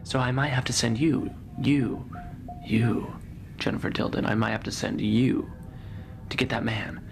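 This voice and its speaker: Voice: medium pitch, measured pace, gravelly, background noise, voice pushed down. A performer voicing a melodramatic, self-important space captain in an improvised radio parody, overwrought and repetitive. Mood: grave, urgent.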